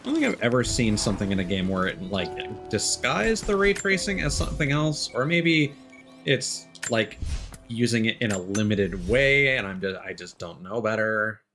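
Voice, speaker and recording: A man giving casual live commentary as he plays a game, thinking out loud and swearing. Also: fairly low pitch, very animated, normal speed, faint music behind. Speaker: game streamer.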